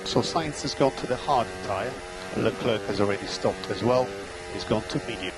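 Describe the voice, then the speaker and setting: A male race engineer reads out gaps and lap times in short, clipped, steady calls. His voice comes through a team radio link, with loud engine noise behind it. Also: on the low side, moderate pace.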